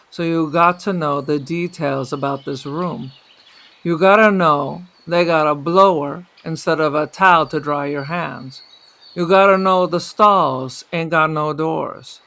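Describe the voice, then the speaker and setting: A man plays an emphatic, streetwise police mentor in an audio drama, drilling points by repetition. The voice is fairly high for a male, forceful and bright, with a gravelly creak. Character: streetwise police mentor coaching an undercover cop in acting.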